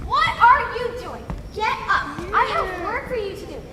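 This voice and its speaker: Woman as a bossy farmer, very high and animated. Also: unhurried, exaggerated voice. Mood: fed up. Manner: commanding, theatrical. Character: bossy, impatient male farmer, harsh master. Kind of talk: children's folktale stage play. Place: large hall, stage performance. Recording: echoey hall, distant mic, noise.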